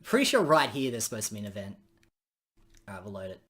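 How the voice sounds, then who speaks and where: Very low-voiced woman, lively chat. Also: fast talker. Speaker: game developer and streamer. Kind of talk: casual chat while playing own visual novel.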